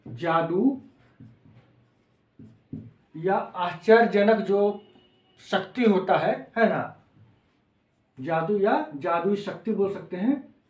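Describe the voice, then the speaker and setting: A man's voice: sits high, lively intonation, very slow, pauses often, darkish tone, dull audio. A man with a Bihari accent giving an informal lecture as a coaching teacher, explaining in simple words, repeating phrases and checking that students follow. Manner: repeats phrases and checks understanding with short tags. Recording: echoey, reverberant room.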